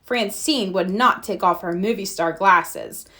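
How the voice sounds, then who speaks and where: A woman narrating a children's storybook aloud in an expressive read-aloud style. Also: mid-range voice, unhurried. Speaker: storybook reader.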